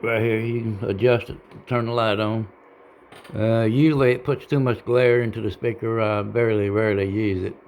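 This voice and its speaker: Male hobbyist tutorial voice: creaky and gravelly, folksy, unpolished, stop-and-start delivery. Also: on the low side, steady tone, darkish tone, slight background noise. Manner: halting, folksy, plain-spoken. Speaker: hobbyist giving a how-to demonstration. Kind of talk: casual unscripted tutorial. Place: indoor home radio room.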